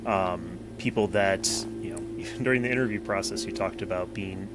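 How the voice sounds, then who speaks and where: A man's voice: sits low, a little fast, loud music behind the voice, rough recording. A man co-hosting a podcast, talking casually and rambling, with frequent restarts and "you know.". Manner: rambling, repeats words, says "you know" a lot. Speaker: podcast co-host. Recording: loud background noise.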